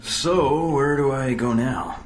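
A man's voice: medium pitch, takes it slowly, echoey room, music in the background, natural voice. An actor playing a cocky young male spy recruit in a video game, casual and flippant. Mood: nonchalant. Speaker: recruit agent. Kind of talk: video game cutscene acting.

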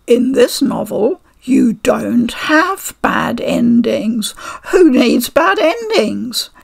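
An older woman reviewing a game from her living room at a desk mic, measured and articulate with a dry, wry humor. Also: fairly high pitch, sing-song, gravelly, breathy edge. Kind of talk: unboxing and review talk. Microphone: desk microphone.

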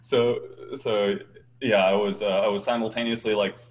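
A young man with a light, easygoing voice chats on a video call. He talks with animated swings in tone, laughs often and stumbles through restarts. It sounds like a small room at home. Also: on the low side, moderate pace. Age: young adult. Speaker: choir bass singer, guest. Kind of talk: casual video-call interview.

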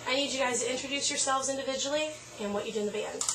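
A woman's voice: high voice, very fast, over music, poor audio. A woman in her twenties interviewing a band, asking questions with a light, giggly manner. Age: 20s.

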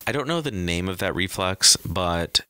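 A man with a very low yet sharply bright voice banters on a podcast. He is blunt, emphatic and crude, with a slight lisp. The sound is close and dry, as in a small studio. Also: lively intonation, moderate pace, clear, resonant tone, dry recording. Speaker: podcast co-host. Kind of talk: casual comedic banter. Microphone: close boom microphone with headset.

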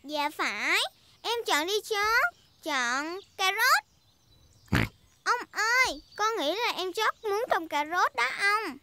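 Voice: very high voice, lively intonation, slow, background noise, heavily acted. An actor voicing an eager, polite little girl pig in a dubbed preschool cartoon. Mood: excited. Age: child character. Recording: music under the voice.

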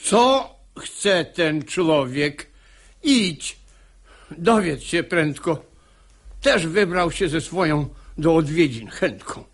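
Worked up dad in an old radio play, high voice, slow emphatic blustery verse. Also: male voice, animated, exaggerated voice. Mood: irritated. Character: exasperated, hot-tempered father and master of the house. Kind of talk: theatrical radio drama acting in verse.

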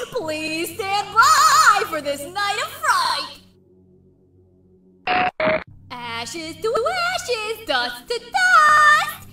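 A woman's voice: extremely high pitch, huge pitch swings, extremely slow, full character voice. A woman voicing a taunting, gleefully sinister female animatronic fox in theatrical, sing-song villain lines. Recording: roomy, distant sound under loud music. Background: loud music.

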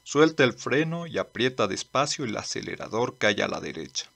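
A man voicing a gruff, practical older desert traveller in a manga dub, gravelly and matter-of-fact. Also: medium pitch, very animated, a little fast, a bit bright, full character voice. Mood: instructive. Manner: firm, matter-of-fact, instructing. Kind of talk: amateur manga dub acting.

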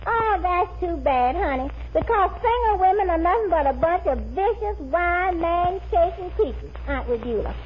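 Very high, flighty woman friend. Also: expressive, moderate pace, few pauses. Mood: indignant. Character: flighty, man-hungry, naive woman friend. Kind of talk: 1950s radio comedy acting. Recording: muffled, noisy 1950 radio recording.

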